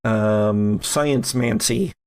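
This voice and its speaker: A man narrating a tabletop roleplaying game as game master, in a halting, deliberate way. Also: fairly low pitch, darkish tone, clear, resonant tone.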